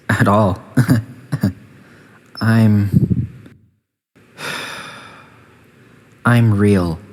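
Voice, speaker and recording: Slow, low-voiced male AI acted by a man. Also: darkish tone. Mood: wounded, resigned. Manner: slow, measured, condescending. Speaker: test chamber announcer and antagonist. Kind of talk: scripted video game voice lines.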